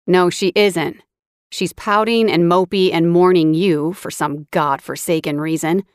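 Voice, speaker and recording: A woman's voice: mid-range voice, animated, unhurried, a bit bright, clear, resonant tone, no echo, voice pushed up. A woman reads an angry young man who swears in every breath, protecting his hurt cousin. His lines come out hard and biting. The sound is dry and close. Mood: furious.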